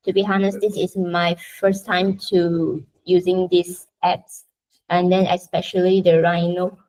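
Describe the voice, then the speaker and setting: A young woman from Malaysia, a Chinese speaker, giving a chatty, halting self-introduction to her university class. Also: on the low side, a little mellow, low-quality recording. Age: young adult. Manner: chatty, halting, frequent restarts. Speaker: university student presenter.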